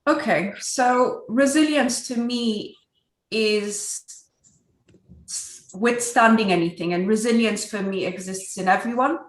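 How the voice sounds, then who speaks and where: A woman's voice: a little high, slow. A woman from Ethiopia speaking earnestly as a guest on a virtual panel, with a strong voice. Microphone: video call. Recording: echoey room over a video call.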